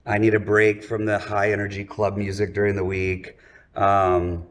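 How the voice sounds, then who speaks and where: Laid-back man in his forties, low voice. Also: completely flat delivery, normal speed. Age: 40s. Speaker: guest DJ. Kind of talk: casual chat.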